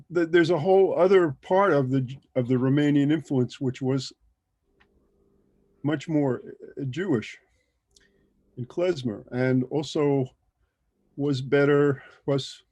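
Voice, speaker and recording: Male panelist, mid-pitched and dry, halting, thinking-aloud delivery. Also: warm tone, dry recording. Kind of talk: casual panel discussion. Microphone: video call.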